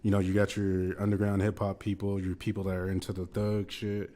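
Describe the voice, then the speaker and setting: A young man with a deep voice, a guest rapper in a casual podcast chat, laid-back and slangy, saying "man" and "you know" often. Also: completely flat delivery, fairly quick, mellow.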